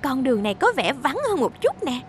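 A woman's voice: high voice, very animated, brisk, a little hiss or hum. A woman voicing a timid, curious young female bus from the countryside in a dubbed cartoon. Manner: timid and wondering. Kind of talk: Vietnamese cartoon dub.

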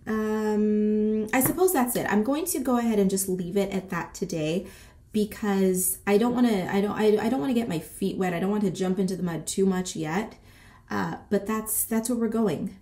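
A woman's voice: medium pitch, moderate pace. A woman in her thirties chatting casually in a sassy vlog style, congested and a bit short of breath from a cold. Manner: sassy, animated, peppered with slang and swearing. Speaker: boutique owner and vlogger. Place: small decorated room indoors.

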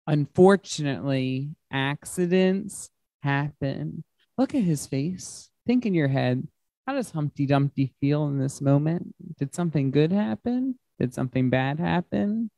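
A man's voice: a little high, a little mellow, no room sound. A man reading a picture book aloud to children as a guest reader, expressively, with simple emphatic phrasing. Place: large glass-walled library room.

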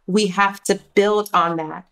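A woman's voice: medium pitch, steady tone, measured pace, a bit bright. A woman speaking as a panelist in a thoughtful discussion, emphatic and rhetorical, often checking in with the listener. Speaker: dramaturg and panelist.

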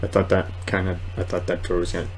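Casting: man, twenties, lowish voice, casual hesitant gamer commentary, noisy home recording. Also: completely flat delivery, fast. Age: 20s. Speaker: gamer, video host. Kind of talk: casual let's play commentary. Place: small room at home, indoors.

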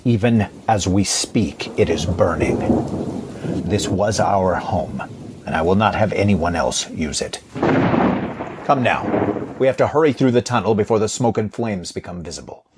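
Stern protective guardian on the run, played by a man: low, husky, emotive, terse. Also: animated, a little slow, very noisy. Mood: grim, urgent. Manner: grave, terse, resolute. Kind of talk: audio drama acting.

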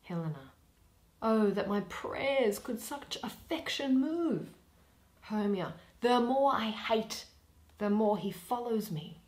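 A young woman reading a play in verse aloud, dramatically and expressively, pausing between phrases. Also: on the high side, expressive, a little mellow. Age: young adult. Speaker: reader of a Shakespeare play. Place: small plain room indoors.

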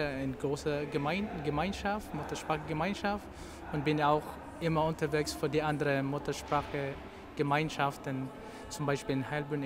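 A man with a Filipino accent talks through an interview, pausing often and filling the gaps as he looks for the right words. His voice sits fairly high for a man. Clear background noise runs under the whole recording. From Philippines. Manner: halting, searching for words with many fillers. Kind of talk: interview answers.

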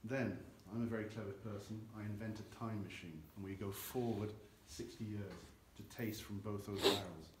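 Older man, cellar guide, explanatory, lowish voice, echoey barrel warehouse. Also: fairly even intonation, normal speed, few pauses. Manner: explanatory, gestures with both hands while talking. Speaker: cognac house guide. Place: large dim barrel warehouse with earthen floor. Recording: echoey warehouse, faint noise.

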